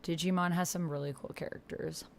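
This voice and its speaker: Low-pitched woman chatting on a livestream. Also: steady tone, fairly quick, vocal fry, slight background noise. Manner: chatty, muttering to self while typing. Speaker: host of a live tabletop roleplay stream. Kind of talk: casual livestream chat, reading typed posts aloud. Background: computer keyboard typing.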